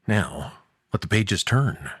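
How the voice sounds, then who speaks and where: Husky man telling a dramatic story. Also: takes it slowly, no room sound, clean studio sound. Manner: expressive, swinging between hushed low lines and lifted emphatic ones. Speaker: host and narrator.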